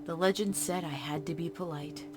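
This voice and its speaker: A woman playing a horror visual novel, reading its text in a hush and breaking off into casual reactions. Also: sits low, fairly even intonation, normal speed, over music. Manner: hushed reading broken by chatty reactions. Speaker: game streamer. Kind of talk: reading a game's text aloud with casual commentary.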